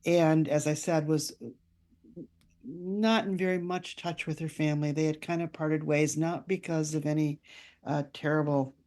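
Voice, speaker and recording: A woman speaking as a guest author in a conversational interview, telling stories in a halting, reflective way with pauses. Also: deep voice, steady tone, darkish tone.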